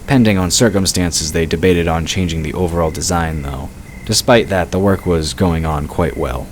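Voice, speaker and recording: A man's voice: deep voice, normal speed, a little hiss or hum. A man reading scripted fantasy narration as the narrator of an audio drama.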